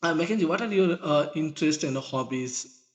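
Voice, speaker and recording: A man whose first language is Bhojpuri hosts an interview in a slightly high voice. He asks simple questions and often opens with a hesitant "uh". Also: lively intonation, a little fast, slight background noise. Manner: hesitant, starts with "uh". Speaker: podcast host.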